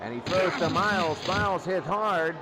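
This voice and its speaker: A man's voice: high voice, normal speed. An American man calling a football game play by play as a live radio announcer. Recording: noisy game broadcast with crowd roar. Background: loud stadium crowd.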